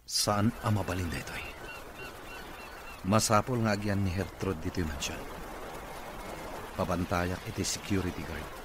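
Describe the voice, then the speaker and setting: Man acting deep-voiced, protective Ilocano boss. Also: fairly even intonation, moderate pace, pauses often, lightly acted. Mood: protective, firm. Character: kind, protective wealthy male boss of a mansion. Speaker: radio drama actor. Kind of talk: radio drama acting in Ilocano. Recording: noisy radio drama with ambience.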